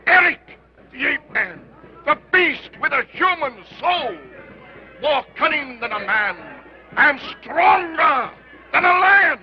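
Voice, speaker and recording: A brash carnival barker. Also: male voice, lively intonation, slow, exaggerated voice. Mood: hawking. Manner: hawking, booming announcements. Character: loud male carnival barker, brash and hawking. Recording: lo-fi old film soundtrack with music.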